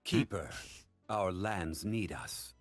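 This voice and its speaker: Calm, very deep ninja guardian. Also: male voice, no echo, faint music behind. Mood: calm, grave. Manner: solemn, measured, formal. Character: calm, honorable male ninja guardian of balance. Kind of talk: scripted game character voice lines.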